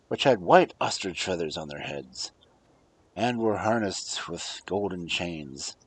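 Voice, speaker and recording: A man reading fairy tales aloud as a storyteller, with a husky voice and a dramatic delivery that moves between hushed and emphatic. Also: on the low side, slight background noise, poor audio. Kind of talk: expressive fairy-tale narration, read aloud.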